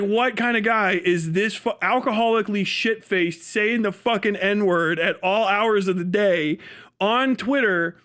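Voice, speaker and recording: A man with a high-pitched voice hosts a livestream. He reacts casually, swears freely and keeps a derisive, mocking edge. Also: fairly bright tone. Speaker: host, livestream commentator. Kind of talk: profane casual reaction commentary.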